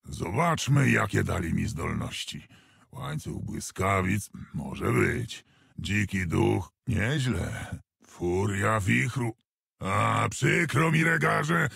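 An actor playing a gruff, proud orc warchief in a video game, husky and commanding, with a wry deadpan streak. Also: male voice, medium pitch, dry recording, clean studio sound, full character voice. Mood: smug. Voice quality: gravelly, husky. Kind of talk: scripted video game hero voice lines.

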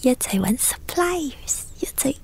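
Earnest high-voiced young woman. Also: takes it slowly, vocal fry, whispered, full character voice. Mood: musing. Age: 20s. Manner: chatty, self-chiding, expressive. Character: young woman, earnest, apologetic, secretly smitten coworker. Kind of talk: radio drama acting. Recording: background music under studio drama dialogue.

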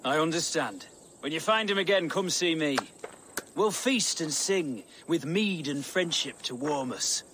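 An actor playing a hearty, loyal young warrior in a video game. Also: male voice, on the high side, expressive, unhurried, background noise. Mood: warm, comradely. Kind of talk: dramatic video game acting.

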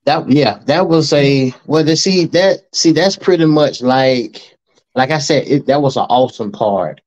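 A man's voice: mid-range voice, unhurried. A middle-aged man, a guest talking easily over a video call from home, with many fillers. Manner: relaxed, easygoing, many fillers. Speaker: guest, old friend. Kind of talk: casual video call chat. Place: home room, indoors. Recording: slightly muffled video call audio.

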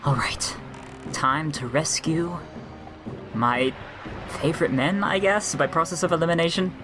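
A woman's voice: low voice, a little slow. A woman voicing a flippant, self-absorbed young heroine in an improvised comedy dub. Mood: nonchalant. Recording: music under the dialogue.

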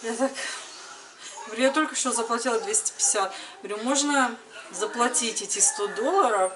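A woman's voice: fairly high pitch, a little fast. A woman in her thirties chatting casually to camera as a vlogger, conversational and retelling everyday exchanges. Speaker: vlogger, mother. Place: home kitchen, later another room indoors. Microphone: camera a little distance away. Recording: noisy room, distant camera microphone.

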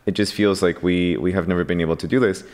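A man's voice: low-pitched, fast, in a large hall. A man who founded a coffee company, a podcast guest who recounts events by acting out what he said back then, at a studio microphone. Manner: animated, acts out his own past lines. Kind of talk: casual podcast conversation. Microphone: boom-arm studio microphone.

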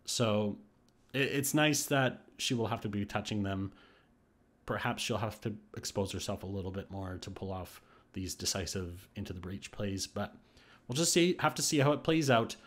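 A man's voice: fairly low pitch, moderate pace. A man giving casual, thinking-aloud commentary on game patch notes, with restarts and hesitations. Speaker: gaming YouTuber. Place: voice-over on screen capture.

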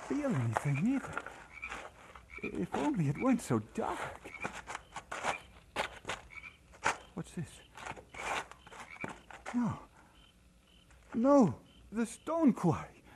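A man's voice: high voice, wildly swooping pitch, slow pace, pauses often, background noise, heavily acted. An actor playing an earnest, hesitant young Scottish man in an audio drama. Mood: bewildered.

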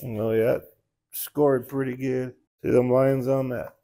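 A man's voice: mid-range voice, little pitch movement, slow, warm tone. A middle-aged man, a small engine mechanic, talking casually and plainly while taking apart an engine in his repair shop. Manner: plainspoken, folksy shop talk. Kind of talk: casual hands-on repair walkthrough.

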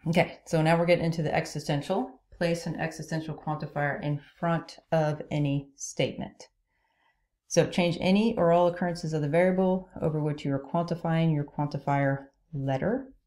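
A woman's voice: sits low, steady tone, moderate pace, darkish tone. A woman teaching a logic lesson as a voice-over on slides, explaining each rule step by step and checking in with "okay?". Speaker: logic instructor. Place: voice-over on screen recording.

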